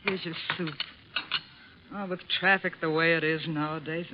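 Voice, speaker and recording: An American actress playing a kindly working-class wife in a 1950s radio drama, homey and casual. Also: female voice, sits low, steady tone, normal speed, natural voice. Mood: homely. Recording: dull old radio with faint noise.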